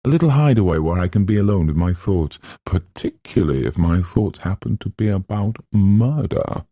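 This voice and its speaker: A man's voice: sits low, animated, normal speed, dark tone, no echo, voice pushed up. A man voicing a flippant, sophisticated young woman in an audiobook, droll and theatrical. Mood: wry delight.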